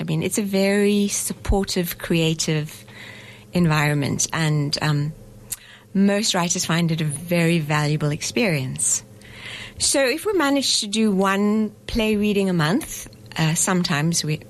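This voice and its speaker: A woman's voice: medium pitch, a little hiss or hum. A woman being interviewed in a radio studio as a festival director, explaining things step by step in a chatty way and laughing easily. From South Africa.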